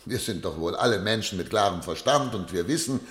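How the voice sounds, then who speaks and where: A stern public prosecutor in a radio drama. His low voice swings widely as he argues, formal and emphatic, never yielding a point. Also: animated, a little fast, a bit bright. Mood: indignant, forceful. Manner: rhetorical, emphatic, formal legal argument. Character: male public prosecutor, eloquent, unyielding, stern and argumentative. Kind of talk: German radio play acting.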